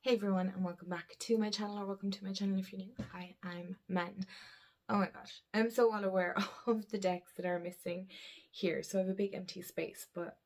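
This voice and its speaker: A woman chatting casually to camera about her tarot decks, croaky from a head cold, rambling with laughs and soft murmured asides. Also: medium pitch, steady tone, a little fast. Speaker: tarot deck vlogger. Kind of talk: casual vlog chat to camera. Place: indoors at a desk.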